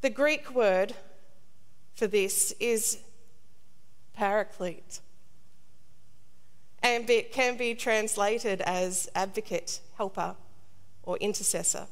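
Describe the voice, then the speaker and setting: A middle-aged woman priest delivers a scripted sermon in a measured, solemn, deliberate way. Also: mid-range voice. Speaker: priest, preacher. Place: large stone cathedral, indoors. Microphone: lectern gooseneck microphone. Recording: long cathedral reverb.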